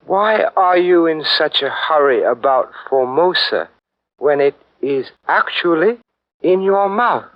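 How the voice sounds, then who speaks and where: Weary stubborn old diplomat, dry muffled 40s radio. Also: male voice, fairly high pitch, expressive, takes it slowly, no room sound. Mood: dignified, resisting. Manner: dignified, pleading, resistant. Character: older male Chinese envoy, weary, stubborn. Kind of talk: 1940s radio acting.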